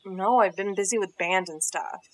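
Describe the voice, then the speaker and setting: A woman's voice: mid-range voice, fairly even intonation, normal speed, slight background noise, natural voice. A woman playing a blunt, bossy teenage girl in an audio drama, speaking in a brusque, slangy, impatient way. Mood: irritated.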